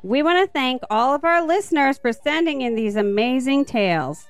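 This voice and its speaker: Very clear-voiced woman reading children's stories. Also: high-pitched, animated, normal speed, soft background music. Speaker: podcast host and story reader. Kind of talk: scripted read-aloud storytelling for children.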